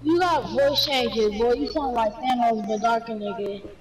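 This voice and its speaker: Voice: medium pitch, moderate pace, distant mic, a crowd in the background, rough recording. A slangy, teasing gamer talking casual trash in game voice chat. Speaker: video game player.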